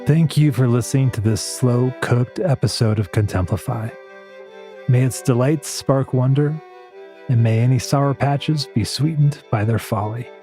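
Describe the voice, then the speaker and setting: A man's voice: mid-range voice, a little slow, dark tone, faint music behind. A man hosting a podcast interview in a conversational, earnest and appreciative way. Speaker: podcast host.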